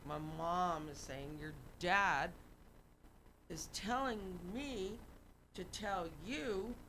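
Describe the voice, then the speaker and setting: Middle-aged lady guest, punchy and lively, talks over a hiss in the background. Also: fairly low pitch, low-quality recording. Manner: animated, emphatic, quotes voices in her stories. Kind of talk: casual storytelling.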